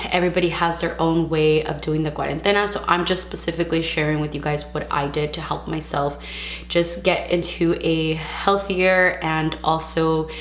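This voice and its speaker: A young woman talks frankly and casually in a slightly lower voice, a touch raspy from a sore throat, dropping in the odd Spanish word. Her voice bounces around an echoey room over a faint steady hum. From California. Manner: chatty, frank, sprinkles in Spanish words. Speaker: vlogger. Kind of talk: casual vlog talk to camera.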